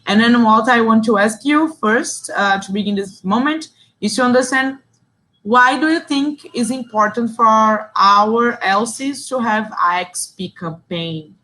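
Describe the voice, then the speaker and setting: A woman's voice: a little high, a little slow, bright, forceful tone. Brazilian woman leading an online workshop. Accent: Brazilian Portuguese. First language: Portuguese. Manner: reads chat replies aloud, short praising interjections. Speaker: session facilitator.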